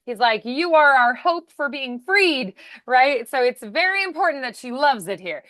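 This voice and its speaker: A woman's voice: high-pitched, expressive, moderate pace, sharp tone, no room sound. A woman working as a voice acting coach, giving casual, encouraging direction.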